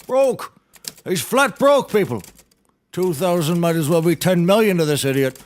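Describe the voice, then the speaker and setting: A man's voice: high voice, animated, normal speed. Man playing a blustering sailor turned lawyer. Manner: theatrical, pompous courtroom oratory. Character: blustering nautical handyman turned defense lawyer. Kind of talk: scripted audio comedy courtroom acting.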